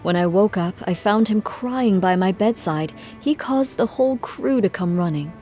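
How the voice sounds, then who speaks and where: An actress playing a gentle, earnest traveling musician in a video game, speaking politely and in measured phrases. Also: female voice, mid-range voice, faint music behind, natural voice. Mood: rueful. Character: gentle, earnest female traveling musician, composed but careworn. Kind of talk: video game cutscene acting.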